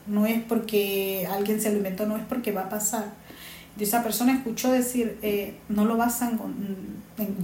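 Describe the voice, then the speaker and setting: A woman talks earnestly and circles back to repeat her points. Her voice is mellow and dark. She sits in a roomy, echoey space with faint ambient noise behind her. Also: medium pitch. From Honduras. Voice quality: earnest, repeats herself for emphasis. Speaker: interviewee, migrant mother. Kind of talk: earnest personal testimony.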